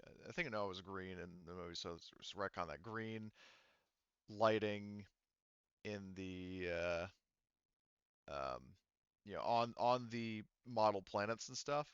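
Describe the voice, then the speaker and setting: A man casually narrating a tabletop game as game master, improvising in a halting, wordy way. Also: fairly low pitch, fairly bright tone, smooth, dry recording, highs cut off.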